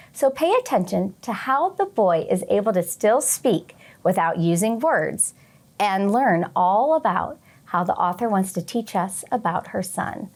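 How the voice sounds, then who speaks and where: Female teacher, very unhurried delivery, mid-range pitch, expressive children's read-aloud addressed straight to young listeners. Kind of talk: children's picture book read-aloud with short teaching asides.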